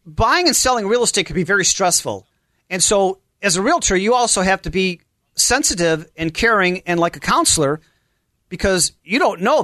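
A man's voice: high-pitched, bright, forceful tone, dry recording. A man hosting a live radio talk show from a studio, with an effusive, exclamatory, promotional patter.

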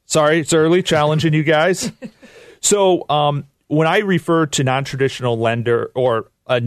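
A man's voice: fairly high pitch, moderate pace, no echo. A man appearing as a business guest on live studio radio, explaining things informally and putting questions to the room. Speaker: commercial lending executive, radio guest.

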